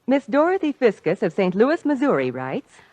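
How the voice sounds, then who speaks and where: Animated American radio spokeswoman, dry sound. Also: on the high side, normal speed, no room sound. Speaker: radio ad co-presenter. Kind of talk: scripted 1940s radio ad dialogue.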